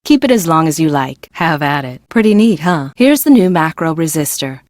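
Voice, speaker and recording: Game role: blunt, quirky female chief engineer, low register, brisk offhand delivery, close dry recording. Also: sing-song, a little mellow, no room sound. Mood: offhand, helpful. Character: confident, blunt, quirky woman chief engineer at amusement park. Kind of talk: video game voice acting.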